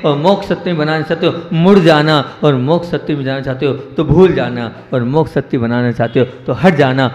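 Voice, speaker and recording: A male Jain monk delivering a sermon to a gathered audience, asking rhetorical questions and repeating phrases for emphasis, swinging between raised emphatic lines and quiet asides. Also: high-pitched. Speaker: Jain monk giving a discourse. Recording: echoey hall with long reverb.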